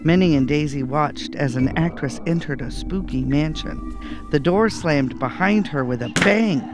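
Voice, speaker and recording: A man reading a children's bedtime story aloud in an animated storytelling style. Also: on the high side, a little slow, music in the background. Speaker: storybook reader.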